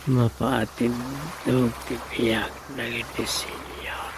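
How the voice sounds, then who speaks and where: A man's voice: mid-range voice, flat delivery, slow, background noise. An actor playing a man who grumbles and muses to himself in a radio drama, with an Ilocano Filipino accent. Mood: musing.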